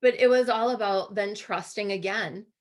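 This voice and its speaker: A woman with a hoarse voice co-hosting an online interview, asking questions in a conversational, circling and halting way. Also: mid-range voice. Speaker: co-host and interviewer. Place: remote video call.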